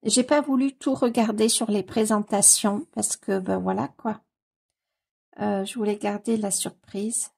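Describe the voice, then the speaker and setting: Husky, gravelly woman with a cold. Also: medium pitch, steady tone, a little slow, a little mellow. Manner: gushing, repeats exclamations of delight, many hesitation fillers. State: has a cold. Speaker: coloring book YouTuber. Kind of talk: casual commentary while leafing through a book.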